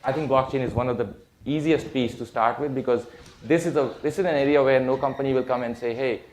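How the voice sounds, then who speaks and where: A man's voice: medium pitch, fast, a little mellow, a little hiss or hum. A man speaking on a conference panel, talking at length with many fillers and restarts. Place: conference hall. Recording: echoey hall with faint clicks.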